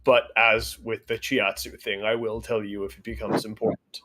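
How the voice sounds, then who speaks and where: A man's voice: fairly low pitch, flat delivery, normal speed, bright, forceful tone. A man playing in a tabletop roleplay, improvising in-character dialogue in a hesitant, guarded way. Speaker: roleplay player.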